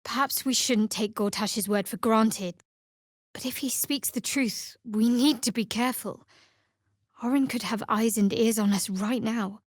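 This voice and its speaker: A woman voicing a guarded, dry-witted young female cleric in a fantasy video game, measured and wry. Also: a little high, dry recording. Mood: guarded, solemn.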